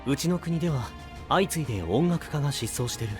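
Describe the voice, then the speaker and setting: A man playing a serious, composed young knight in an anime audio drama, calm and matter-of-fact. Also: fairly high pitch, a little fast, music in the background, natural voice. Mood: grave.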